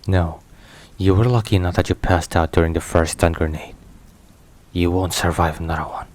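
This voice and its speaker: A man playing a stern, protective, weary mafia boss in an intimate ASMR roleplay, speaking in a grave, measured, halting way. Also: deep voice, natural voice. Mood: stern, blunt. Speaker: voice actor. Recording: steady rain and thunder noise. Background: rain and thunder.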